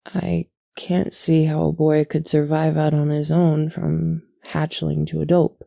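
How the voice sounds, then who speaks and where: A woman playing a calm, motherly alien matriarch in an audio roleplay, measured and soothing, tender but gently stern. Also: low voice, creaky, dry recording, clean studio sound, full character voice. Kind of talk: soft audio roleplay acting.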